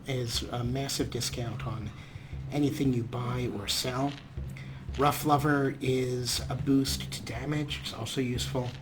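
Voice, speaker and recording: A man's voice: medium pitch, noisy background. A man hosting a let's play, giving casual game commentary with wry, tongue-in-cheek asides. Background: game music and character voices.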